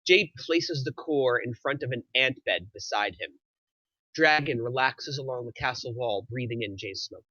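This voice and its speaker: Male narrator for a screenplay table read, medium pitch, bright edge, slightly dull recording. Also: moderate pace, no echo. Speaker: narrator reading stage directions.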